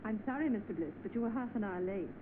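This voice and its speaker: An actress playing a polite, firm doctor's receptionist in 1930s film style. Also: female voice, medium pitch, lively intonation, fast talker, noisy background, lightly acted. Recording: roomy, tinny vintage film soundtrack.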